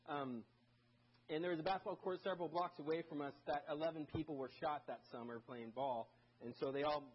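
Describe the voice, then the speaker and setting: A man's voice: mid-range voice, slightly rough, lo-fi sound. A man who grew up in Germany, a guest preacher giving a casual, conversational sermon full of stories and self-deprecating asides. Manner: chatty, self-deprecating, many restarts and fillers.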